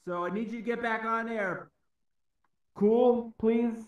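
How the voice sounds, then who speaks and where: A man's voice: very high voice, steady tone, measured pace, noisy background. An actor playing an anxious, pleading, well-meaning everyman who rambles earnestly and hesitantly in a game radio drama. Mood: urgent, pleading. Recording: echoey, distant, muffled.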